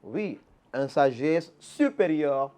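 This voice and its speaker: A man's voice: fairly high pitch, lively intonation, slow pace, a little mellow, smooth. A man, a pastor, answering interview questions in an earnest, preaching manner, often repeating words as he restarts a phrase. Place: Quebec.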